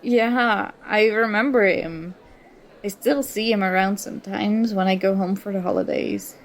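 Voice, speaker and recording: A woman's voice: mid-range voice, measured pace, close to an everyday voice. A woman acting an indignant, sarcastic young student confronting a former school enemy in an audio roleplay. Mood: scornful. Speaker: ASMR roleplay performer. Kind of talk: ASMR roleplay acting, one side of a dialogue.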